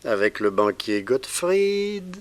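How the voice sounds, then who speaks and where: Radio drama role: straight-talking detective's deputy, medium pitch, theatrical period delivery. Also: male voice, very animated, slow. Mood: pressing, pointed. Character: loyal, frank male police detective lieutenant. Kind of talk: 1960s French radio drama acting.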